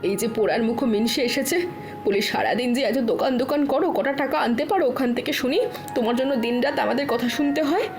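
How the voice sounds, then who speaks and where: A woman's voice: high voice, animated, fast, music in the background. An actress playing an aggrieved, hard-working middle-aged village housewife and mother in a fairy tale cartoon, with a complaining, plaintive manner. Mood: scolding.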